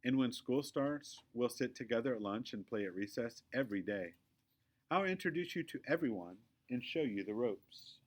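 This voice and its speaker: Storybook role: prissy, self-assured little girl, played by a man, emphatic and bossy, with lively swings in pitch. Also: moderate pace, lightly acted. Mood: gushing, confident. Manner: emphatic, know-it-all.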